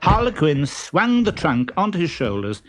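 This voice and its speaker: A man reading a children's story as scripted, expressive narration. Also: a little high, moderate pace, background noise.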